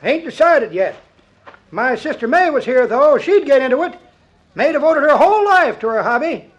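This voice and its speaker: A man's voice: very high-pitched, big pitch swings, moderate pace, low-quality recording. An actor playing a folksy, jokey old man who tells tall tales in rustic speech, in 1950s radio comedy style. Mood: chuckling, storytelling. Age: older.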